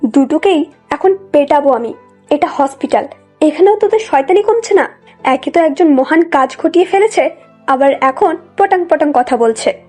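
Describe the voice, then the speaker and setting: Woman acting a high-pitched scolding mother. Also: lively intonation, moderate pace, a little mellow. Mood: exasperated. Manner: scolding, bossy. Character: scolding, worried middle-aged mother of two daughters. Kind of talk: dramatised love story narration. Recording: music behind the voice.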